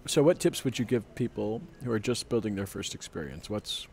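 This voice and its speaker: A man hosting a casual interview, asking questions in an informal, slightly halting way. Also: fairly low pitch, lively intonation, creaky, darkish tone, a little hiss or hum. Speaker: host interviewer.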